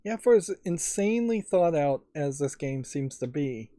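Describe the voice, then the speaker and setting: A man's voice: fairly high pitch, lively intonation, moderate pace, dark tone. A man hosting a let's play, giving casual game commentary in a musing, analytical way.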